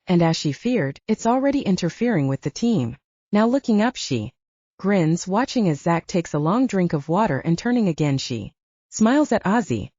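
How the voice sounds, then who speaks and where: A woman narrates dramatic fiction with a mellow, lowish voice. Her pitch moves around a lot as she tells the story. The sound is dry and slightly muffled. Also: very animated, moderate pace, no room sound. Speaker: audiobook narrator.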